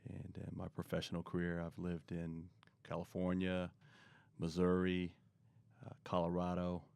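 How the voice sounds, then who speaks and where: A middle-aged man answering interview questions in a relaxed, rambling way, often pausing with fillers. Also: deep voice, gravelly, smooth, no room sound. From born in Korea, raised in the US. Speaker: guest, marketing expert.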